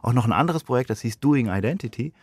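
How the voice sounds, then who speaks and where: A man's voice: mid-range voice, lively intonation, quick pace, husky, no room sound. A man speaking as an interview guest, explaining his work thoughtfully and often interrupting himself to rephrase. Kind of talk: reflective spoken interview. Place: radio studio.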